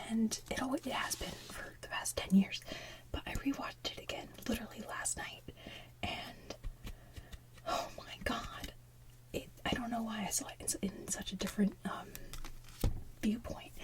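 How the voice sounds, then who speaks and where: A woman's voice: medium pitch, rough recording. A woman whispering as an ASMR host, rambling casually and enthusiastically like a devoted fan. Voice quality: breathy whisper. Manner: rambling, gushing fan talk.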